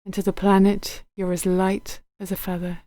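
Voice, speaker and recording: A woman guiding a body scan meditation in a gentle, unhurried, soothing manner, letting her phrases end softly. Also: mid-range voice, flat delivery, dry recording. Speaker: meditation guide.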